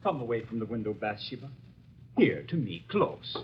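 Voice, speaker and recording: An actor playing an earnest, devoted young colonial man with a breathy, husky voice in a 1950s radio drama. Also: fairly low pitch, big pitch swings, moderate pace, full character voice. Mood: hushed, tender. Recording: muffled 1950s radio recording.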